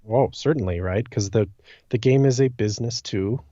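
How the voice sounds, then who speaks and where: A man's voice: mid-range voice, normal speed, warm tone, no echo, highs cut off. A man co-hosting a podcast, chatting casually about a game and repeating phrases for emphasis. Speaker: podcast co-host.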